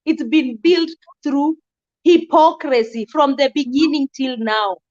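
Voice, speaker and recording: A young woman talks bluntly and with force, her voice pitched very high. The recording sounds dull and lo-fi. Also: unhurried, a little mellow. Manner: blunt, outspoken, emphatic. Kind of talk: casual discussion.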